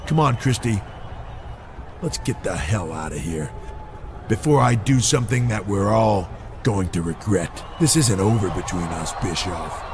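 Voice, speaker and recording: A man's voice: fairly low pitch, lively intonation, measured pace. An American man playing a tough, determined wrestler in a video game, with a blunt, dry delivery. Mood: angry. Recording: noisy game cutscene audio.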